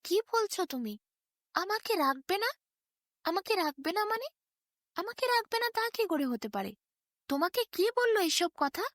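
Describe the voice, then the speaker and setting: Woman voicing a high-pitched, panicky pleading ox. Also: big pitch swings, a little slow, long pauses, fairly bright tone, no echo, studio quality, heavily acted. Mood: shocked, alarmed. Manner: anxious, pleading questions. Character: frightened, pleading male ox, gullible and grateful.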